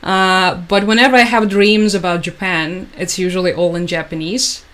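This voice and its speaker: A woman's voice: on the low side, fairly even intonation, moderate pace, fairly bright tone. Chatty young woman. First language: Russian. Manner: chatty, rambling with frequent fillers and restarts. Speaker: livestream host. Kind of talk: casual livestream chat.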